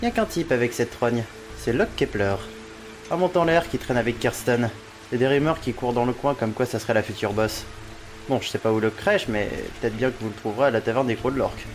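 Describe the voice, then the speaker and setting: An actor playing a sly, mercenary street informant in an audio drama, gossipy and falsely obliging. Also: male voice, mid-range voice, fairly quick, soft background music, close to an everyday voice.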